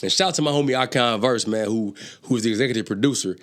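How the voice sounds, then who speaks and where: Animated man telling stories with slang. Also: medium pitch, fast talker. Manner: animated storytelling, profane street slang; stammers on restarts when excited. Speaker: rapper and entrepreneur guest. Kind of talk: casual conversation.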